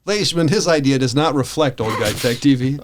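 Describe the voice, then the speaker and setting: A man's voice: mid-range voice, animated, fast talker, over a noisy crowd. An American man co-hosting a podcast, chatting casually with a bantering, teasing manner. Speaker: podcast co-host. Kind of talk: casual chat.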